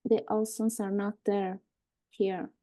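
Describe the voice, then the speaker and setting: A very soft-toned, dark female voice at a middle pitch plays a part, speaking slowly. She is an anxious hospital social worker in a drama read, earnest and pleading but firm. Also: flat delivery, close to an everyday voice. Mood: apologetic. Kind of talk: live screenplay table read, dramatic acting.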